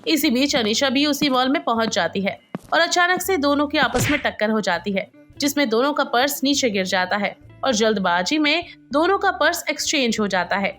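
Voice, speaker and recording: A woman's voice: high voice, lively intonation, moderate pace, a bit bright, soft background music. A woman narrating a scripted story as its storyteller.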